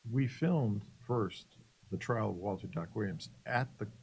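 Gravelly older man explaining facts. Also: on the low side, darkish tone. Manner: measured and factual. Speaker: documentary co-creator, guest. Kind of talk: explanatory interview talk. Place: small TV studio set. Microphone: desk microphone on a boom.

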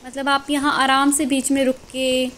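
A woman's voice: high voice, completely flat delivery, a bit bright. A woman vlogging as she walks a mountain trail, cheerfully pointing out the sights to her viewers. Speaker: travel vlogger. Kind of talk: walking travel vlog commentary. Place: outdoors on a mountain trail near a waterfall. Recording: outdoor phone audio with water noise. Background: rushing water.

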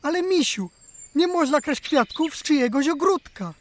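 A woman's voice: high voice, animated, moderate pace, slight background noise. A woman voicing a brave, gallant male hare who speaks in a courtly, theatrical way in a children's radio play.

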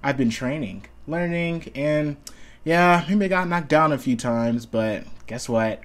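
A man's voice: on the high side, animated, measured pace. A man playing a cocky, determined young hero with a confident, dramatic delivery. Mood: confident, taunting.

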